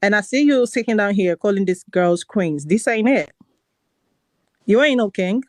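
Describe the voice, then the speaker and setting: A woman's voice: medium pitch, lively intonation, normal speed, no echo, clean studio sound. A woman from Nigeria, combative on a live stream call, cutting in and repeating herself. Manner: combative, interrupts, repeats "hold on". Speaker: guest caller on a live stream. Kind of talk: heated confrontation.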